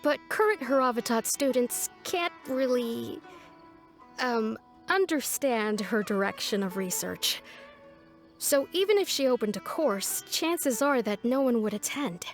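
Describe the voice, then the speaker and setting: A woman's voice: on the high side, lively intonation, measured pace. A woman voicing a polite but firm academic administrator in a video game, with a formal, diplomatic manner. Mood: polite, explanatory. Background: music.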